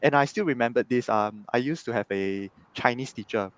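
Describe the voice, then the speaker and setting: A man, chatting as a guest on a video call, animated and tagging his sentences with "right?". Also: mid-range voice, brisk, no room sound. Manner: animated, frequent "right?" tags. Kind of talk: casual storytelling in an interview. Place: home room on video call.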